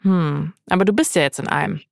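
A woman's voice: lowish voice, a little fast, creaky, no echo, clean studio sound. A woman, narrating a scripted podcast as its host.

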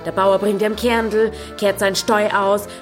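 A woman playing a defiant, quick-witted young inn heiress with a Bavarian accent in a radio drama. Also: medium pitch, little pitch movement, natural voice. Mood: cheeky, argumentative. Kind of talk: German radio drama acting. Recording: faint music behind.

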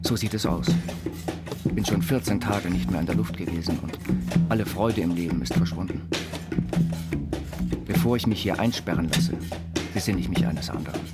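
A man playing an earnest, dreamy inventor confined to an institution, reading his writings in a deliberate, formal way. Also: low voice, natural voice. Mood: despairing. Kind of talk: scripted literary reading over music. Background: music.

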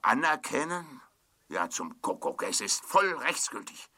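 An actor playing a grumpy, impatient older gentleman, blustering and indignant in a German audio drama. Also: medium pitch, sing-song, unhurried, full character voice. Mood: irritated, indignant.

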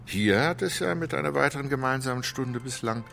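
An actor playing a persuasive, subtly manipulative counsellor in a radio drama. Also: male voice, medium pitch, brisk, creaky, a bit bright, faint music behind. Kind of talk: naturalistic radio drama acting.

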